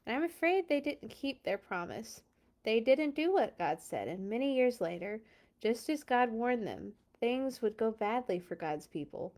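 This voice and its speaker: Lady reading a kids storybook out loud, chatty and playful, really leans into the dramatic words. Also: fairly high pitch, big pitch swings, unhurried. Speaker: host of a children's read-along. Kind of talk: expressive storybook reading with casual asides.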